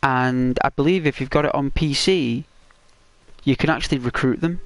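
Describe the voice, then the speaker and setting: A man hosting a gaming channel, chatting casually and rambling to his viewers in a croaky, hoarse voice. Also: medium pitch, normal speed, no echo. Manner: rambling, talks straight to viewers.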